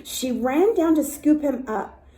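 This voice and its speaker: A woman reading a story aloud to young children in an expressive way, with little asides to her listeners. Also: high voice, a little slow. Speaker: storytime reader for a dance academy.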